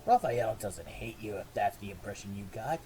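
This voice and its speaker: A man voicing a big, brotherly mutant turtle fighter in a cartoon fan drama, steady and reassuring with a gravelly voice. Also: lowish voice, normal speed, natural voice, low-quality recording. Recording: music behind the voice.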